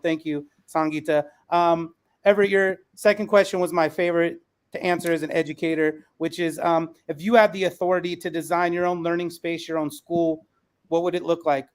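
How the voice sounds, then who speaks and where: Higher-voiced young man hosting. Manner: appreciative, affirming. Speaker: podcast host. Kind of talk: conversational interview.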